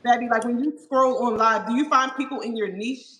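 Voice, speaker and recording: A woman's voice: fairly high pitch, brisk, a bit bright. A woman hosting a casual live stream, agreeing often and putting questions to her guest and viewers. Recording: echoey room.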